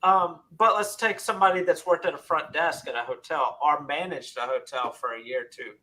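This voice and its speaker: A man's voice: on the high side, a little fast, sharp tone, low-quality recording. A man in his thirties, a podcast co-host in a casual panel discussion, who repeats words as he builds a point. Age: 30s.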